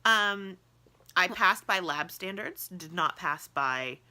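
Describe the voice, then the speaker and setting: A woman's voice: fairly low pitch, expressive, takes it slowly, bright, forceful tone, clear, resonant tone. A congested, sniffly young woman chatting casually and laughing often as she co-hosts a pregnancy vlog. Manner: chatty, laughs often, talks sweetly to pets. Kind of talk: casual chat.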